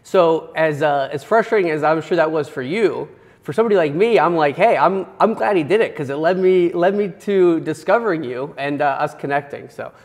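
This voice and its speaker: A man hosting an interview in a casual, upbeat way, using lots of fillers and trailing off quietly. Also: a little high, lively intonation, brisk, in a large hall. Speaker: show host.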